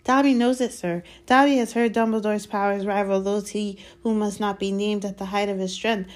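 A woman voicing a small, excitable male house-elf in a storybook, squeaky, reverent and fearful, speaking of himself in the third person. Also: moderate pace, lightly acted. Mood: fearful, urgent. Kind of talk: dramatised storybook reading.

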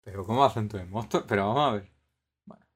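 A man's voice: fairly low pitch, fast talker, breathy edge. A man with a Madrid Spanish accent, hosting a casual live stream and commentating on a game in a bemused, questioning way. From Madrid area, Spain. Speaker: podcast host and streamer.

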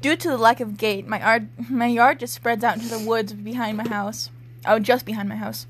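A woman's voice: on the high side, fairly even intonation, normal speed, husky. A teenage girl giving a casual comedic dramatic reading, giggly and irreverent, often breaking off to chat. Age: teenager, about sixteen. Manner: giggly, irreverent, swearing, interrupts own reading. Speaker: podcast co-host reading fan fiction aloud.

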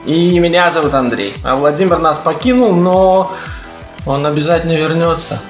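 A man's voice: a little high, moderate pace. A man from Latvia with a light Latvian accent, hosting a casual comedy podcast in an ironic, joking manner. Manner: ironic, joking, mock-pompous. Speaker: podcast host. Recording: echoey room with music bed.

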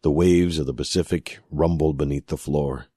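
A male narrator reads dramatic fiction in an extremely deep voice with a husky grain. His phrasing is measured and deliberate, and the sound is dry and close. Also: steady tone, mellow, no echo. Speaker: audiobook narrator.

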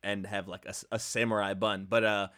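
A man co-hosting a podcast, casually riffing on movie trivia in a joking, animated way with some swearing. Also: lowish voice, a little fast. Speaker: podcast co-host.

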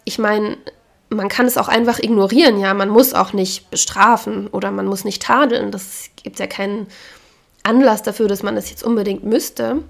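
A woman hosting a podcast, speaking frankly and with opinions while hoarse from a cold. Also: mid-range voice, steady tone, moderate pace. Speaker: podcast host. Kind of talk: casual solo podcast talk.

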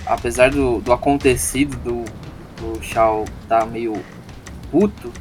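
Male player, casual slangy delivery full of restarts, mid-pitched voice, light music bed. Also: fairly even intonation. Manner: slangy, halting with repeated words. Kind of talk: in-character casual play.

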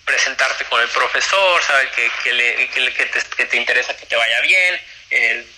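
Young man, very bright tone, rambling casual chat. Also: medium pitch, normal speed. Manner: rambling, many fillers and restarts. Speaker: guest, former college tennis player. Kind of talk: casual interview talk.

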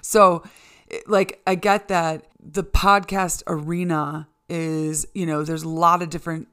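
A middle-aged American woman with a low-pitched voice hosts her own podcast alone. She speaks in a candid, informal monologue straight to the listener, loose and conversational, with the odd swear word. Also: little pitch movement, unhurried, fairly bright tone, clear, resonant tone. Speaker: solo podcast host.

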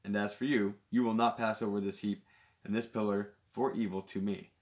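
A man reading the lines of a stubborn, possessive older father-in-law in his own fairly low voice. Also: flat delivery, normal speed, close to an everyday voice. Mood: insistent.

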